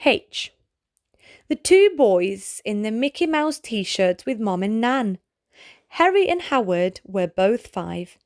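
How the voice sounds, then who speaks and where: Slow-reading woman narrating a children's book. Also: medium pitch, big pitch swings, darkish tone, no echo, clean studio sound. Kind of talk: slow read-aloud of short storybook pieces.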